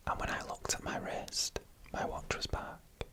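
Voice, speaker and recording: Whispered storytelling, breathy, crisp bright hiss, ASMR narration, deliberate suspenseful phrasing.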